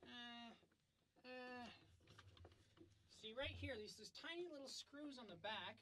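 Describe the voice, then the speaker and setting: A man playing a hapless, polite computer user who is quick to indignation, halting and folksy in an improvised comedy sketch. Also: extremely high pitch, sharp tone, slight background noise, heavily acted. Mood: hesitant.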